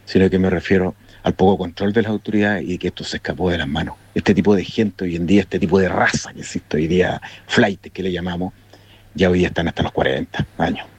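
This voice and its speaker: A man's voice: deep voice, steady tone, fast talker, a little mellow, breathy edge, no room sound. A man with a Chilean accent giving his opinion informally to a show, in a colloquial, emphatic and repetitive way.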